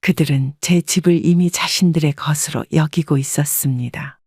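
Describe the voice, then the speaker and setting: A woman in her sixties narrating her own story in first person, calm and measured. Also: low-pitched, husky, no echo, studio quality.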